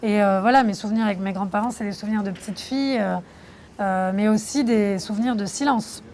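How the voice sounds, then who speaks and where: A young woman from France answering interview questions about her documentary, reflective and thoughtful, pausing often. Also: mid-range voice, a little mellow, slight background noise. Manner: reflective, thoughtful, with frequent hesitations. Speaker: documentary filmmaker being interviewed.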